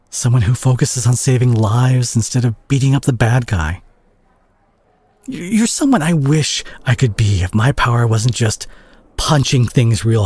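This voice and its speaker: Casting: male actor as tough brawler hero with a tender streak, very husky and breathy, widely swinging pitch, earnest audio-roleplay delivery, dry close sound. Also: darkish tone, no echo. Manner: earnest, emotional, halting delivery with sighs. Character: gruff brawler superhero, male, fiercely protective, guilt-ridden and tender. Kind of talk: dramatic intimate audio roleplay monologue.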